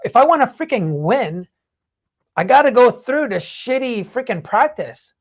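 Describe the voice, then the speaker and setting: Husky-voiced casual talker, sweary and emphatic. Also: mid-range voice, very animated, moderate pace. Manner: rambling, profane, emphatic. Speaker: podcast host. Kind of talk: casual talk explaining book takeaways.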